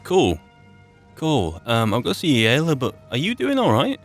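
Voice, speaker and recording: A man improvising in-character roleplay in a fantasy game, musing aloud in a halting way with pauses. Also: mid-range voice, huge pitch swings. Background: game music.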